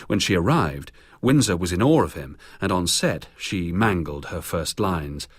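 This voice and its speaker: A man's voice: deep voice, expressive, measured pace, dry recording, clean studio sound. A British man narrating a scripted biography for radio.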